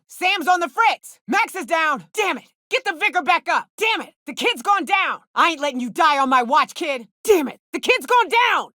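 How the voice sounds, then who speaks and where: Sardonic, blunt female space crewmate, very animated. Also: high-pitched, no room sound, studio quality, full character voice. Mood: alarmed, shouting. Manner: blunt, folksy, sardonic, swearing. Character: gruff, hard-drinking, sardonic female gun-toting spaceship crew companion. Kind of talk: video game character dialogue.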